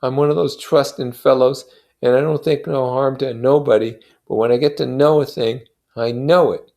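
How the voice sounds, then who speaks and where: A brooding, grief-stricken husband from a novel, acted out by a man reading aloud. He mutters in halting, heavy phrases with obsessed conviction. The voice is lifted and strained upward, with a creaky edge. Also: mid-range voice, steady tone, a little mellow, pitched above the performer's own voice, close to an everyday voice. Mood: grimly certain. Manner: slow, muttering, halting, emphatic.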